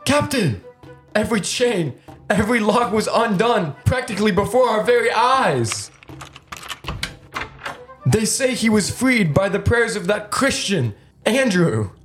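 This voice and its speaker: A woman's voice: mid-range voice, very animated, unhurried, long pauses, soft background music. A woman voicing an alarmed, deferential male prison guard in a dramatized story, with urgent pleading.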